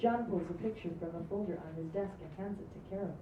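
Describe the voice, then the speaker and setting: A woman's voice: medium pitch, fast talker. Woman, stage-direction reader for a table read. Distant mic, echoey room. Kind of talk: reading script directions aloud. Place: live table read in a roomy space. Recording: roomy, distant, muffled, very noisy live recording.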